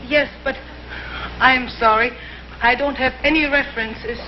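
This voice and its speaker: A woman playing a polite, deadpan governess looking for work in a vintage TV comedy sketch. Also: high-pitched, measured pace, a little hiss or hum. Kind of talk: 1960s TV comedy sketch acting. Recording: muffled old TV audio, loud studio audience.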